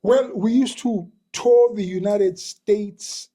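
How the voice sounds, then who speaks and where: An older man from Tanzania, a musician being interviewed, telling his stories slowly with many pauses and repeated words. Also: high voice, warm tone. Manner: slow, hesitant, with many uhs and repeats. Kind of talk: relaxed storytelling.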